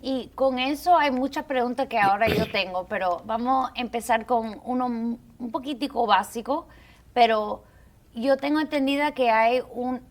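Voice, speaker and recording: A woman's voice: fairly high pitch, moderate pace. A woman hosting an interview segment, courteous and formal, putting questions to her guest. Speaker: interview host. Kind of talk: hosting a call-in legal segment.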